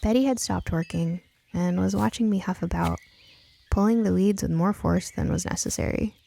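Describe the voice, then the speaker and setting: A woman's voice: lowish voice, normal speed, gravelly, dark tone, slight background noise. A woman reading scripted first-person fiction as an audiobook narrator.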